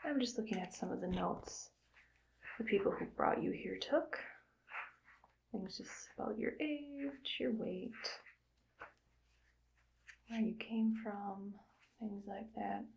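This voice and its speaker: A woman performs a calm, cold female evil scientist for an ASMR roleplay. She mostly whispers, and her words stay clinical and matter-of-fact. Her voice is middle-pitched with a crackly, gravelly fry. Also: slow pace, long pauses. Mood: coolly clinical. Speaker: ASMR creator.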